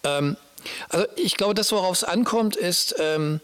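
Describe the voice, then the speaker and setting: A man's voice: high voice, moderate pace, fairly bright tone, clean tone. A man talking casually and informally about Bible stories, often searching for his words as he explains. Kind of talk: casual conversational Bible discussion.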